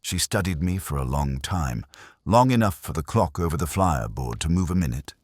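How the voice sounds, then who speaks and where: A man reads detective fiction in a very deep voice with a husky grain. His delivery is measured and wry, yet the pitch moves in lively swings. The recording is close and dry. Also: dry recording. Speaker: audiobook narrator. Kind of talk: scripted first-person detective fiction narration.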